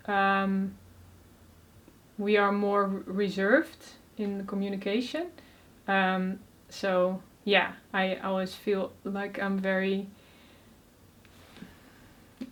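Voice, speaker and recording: A young woman from the Netherlands with a Dutch accent, who stutters on first sounds and talks with many fillers as an interview guest. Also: mid-range voice. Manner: soft-spoken, many fillers, frequent laughs. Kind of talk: casual interview conversation.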